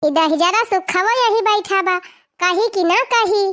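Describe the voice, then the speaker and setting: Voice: very high-pitched, measured pace, sharp tone, full character voice. A performer voicing a nagging, determined village wife in a comedy cartoon, scolding and complaining with an Awadhi accent. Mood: coaxing.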